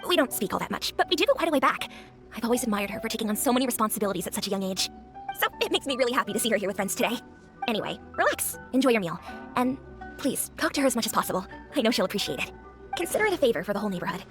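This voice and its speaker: A woman's voice: a little high, sing-song, rapid-fire, over music. A woman playing a friendly, chatty neighbourhood restaurant owner in a video game, obliging and confiding in manner.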